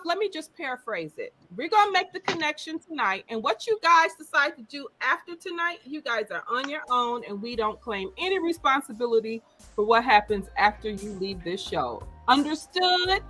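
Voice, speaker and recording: A woman hosting an online dating game over a video call, upbeat and bubbly, explaining the rules clearly. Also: sits high, sing-song, normal speed, over music. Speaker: game show host.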